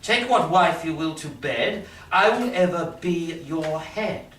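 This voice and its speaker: Man playing a pompous, high-pitched theatrical prince. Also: expressive, a bit bright. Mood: dismayed, rueful. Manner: pompous, deliberate declaiming with dramatic pauses. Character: pompous, self-satisfied prince choosing among caskets. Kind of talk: Shakespearean staged reading, theatrical verse. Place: live staged reading in a reverberant room. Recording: echoey room from far off, faint noise.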